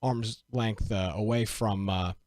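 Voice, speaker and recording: A man's voice: on the low side, fairly even intonation, takes it slowly, a little mellow, dry recording, studio quality. A man co-hosting a casual gaming podcast, joking and teasing his co-host in easy banter.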